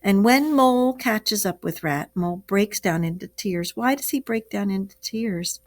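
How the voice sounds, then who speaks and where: A woman's voice: mid-range voice, animated, moderate pace. A woman teacher reading a story aloud to children and explaining it expressively, asking the class questions. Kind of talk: read-aloud lesson for children.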